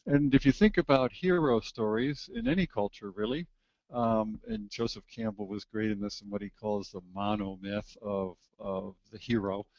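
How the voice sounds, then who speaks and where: A man's voice: fairly low pitch, darkish tone, no room sound. Man presenting a muffled webinar talk. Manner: measured, explanatory, with frequent fillers. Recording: slightly muffled, dry webinar audio.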